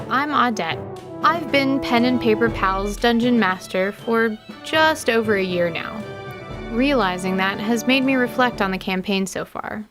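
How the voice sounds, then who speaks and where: A woman hosting as a dungeon master, narrating a scripted podcast in an articulate, slightly wry way. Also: medium pitch.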